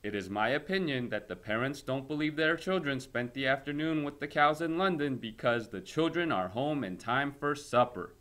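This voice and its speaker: A man reading a story aloud as a teacher, in an expressive lesson style. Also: mid-range voice, moderate pace, few pauses.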